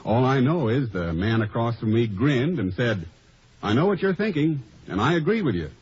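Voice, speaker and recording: A man's voice: mid-range voice, big pitch swings, moderate pace, darkish tone, husky. An actor playing a shy research man and lone traveler, telling his story conversationally in a vintage radio advertisement. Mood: satisfied. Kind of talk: scripted first-person radio advertisement storytelling. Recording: dull vintage radio recording.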